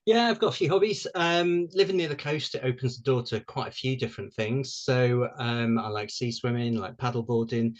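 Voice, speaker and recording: A man from Wales talks easily about himself as an interview guest. He is young and relaxed, and his speech is full of ums and small restarts. His voice sits at an ordinary middle pitch. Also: a little fast, few pauses, highs cut off. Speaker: guest author. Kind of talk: casual interview chat.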